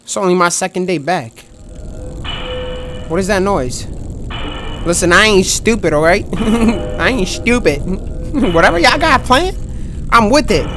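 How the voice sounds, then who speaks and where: A young man commentating a horror game in a loud, excitable, trash-talking way, his voice going hoarse, recorded on a headset mic at a home setup. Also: high-pitched, unhurried, pauses often, over music. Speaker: gaming streamer.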